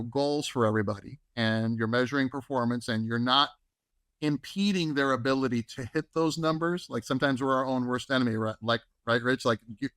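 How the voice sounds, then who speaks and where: A man's voice: mid-range voice, a bit bright, no echo. A man co-hosting a podcast, giving advice in a conversational way that leans on "right?" and "you know". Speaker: podcast co-host. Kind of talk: casual advice talk.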